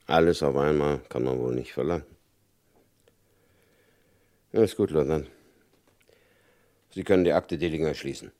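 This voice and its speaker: A deep-voiced stern FBI chief. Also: male voice, moderate pace, pauses often, a little mellow, no echo, clean studio sound. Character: stern FBI chief, middle-aged man, calm. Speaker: FBI chief in radio play. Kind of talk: 1960s radio drama acting.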